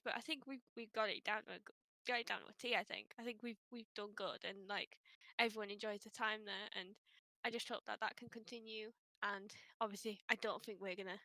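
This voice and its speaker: A woman moderator rambles through heartfelt thanks, hesitating and restarting often. Her voice is bright and a bit high, recorded dry and close. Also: dry recording, rough recording. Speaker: community moderator, co-founder of the server. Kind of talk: casual thank-you remarks on a voice chat.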